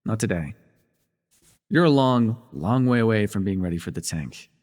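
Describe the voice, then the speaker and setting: A man's voice: lowish voice, animated, moderate pace, a little mellow, no echo, clean studio sound, close to an everyday voice. A man playing a smug, teasing telepath supervillain who mentors a hero, in a wry, theatrical yet conversational ASMR roleplay. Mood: wry, bossy.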